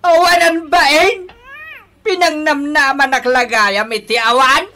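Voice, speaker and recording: An actor playing a boastful old pensioner with a young wife in a comedy radio drama. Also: male voice, very high voice, steady tone, a little slow, pushed and bright, full character voice. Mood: wounded, lamenting. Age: older. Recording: radio drama with music underneath.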